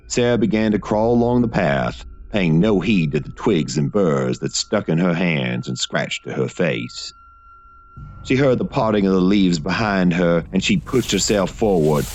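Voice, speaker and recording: A man reading scripted gothic horror fiction as narrator, in a dramatic, deliberate storytelling manner with weighted pauses. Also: low-pitched.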